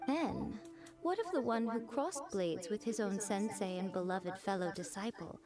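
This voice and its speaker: A woman's voice: mid-range voice, animated, music in the background, lightly acted. A woman voicing a poised, mysterious noble shrine priestess in an anime game, speaking formally with wry amusement. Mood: wryly amused. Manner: formal, measured, wryly amused. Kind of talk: anime video game voice acting.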